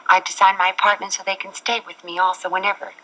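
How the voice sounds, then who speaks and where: A woman's voice: mid-range voice, flat delivery, fast, pushed and bright, low-quality recording. A woman in her forties from Chile, a talk show guest speaking earnestly and at length about her own life, recorded on an old TV studio set. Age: 40s. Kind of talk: candid personal talk.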